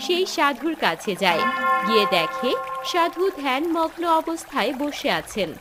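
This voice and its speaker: A woman's voice: high-pitched, big pitch swings, measured pace, over loud music. A woman narrating a fairy tale in a dramatic, scripted storytelling style.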